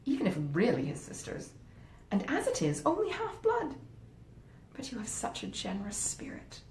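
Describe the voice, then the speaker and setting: A woman's voice: huge pitch swings, normal speed, a little mellow. A woman voicing a selfish, wheedling wife from a classic novel, emphatic and coaxing in her delivery. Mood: gushing flattery. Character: selfish, wheedling wife scheming against her sisters-in-law. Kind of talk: acted dialogue in a classic novel reading. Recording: roomy echo, faint rumbling noise.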